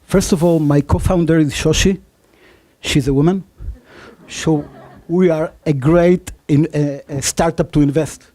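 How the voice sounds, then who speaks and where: A man's voice: a little high, steady tone, warm tone. Argentine-born man with Israeli-Spanish accent. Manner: halting, many fillers, self-joking. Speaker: startup founder pitching. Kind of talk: conference pitch.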